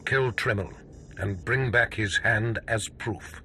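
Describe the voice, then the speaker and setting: A man playing a stern, contemptuous overseer in a video game, speaking in imperious, deliberate pronouncements. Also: lowish voice, bright, forceful tone, slight background noise. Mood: menacing contempt. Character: stern, contemptuous Sith overseer, a cold commanding male master. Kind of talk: video game cutscene acting.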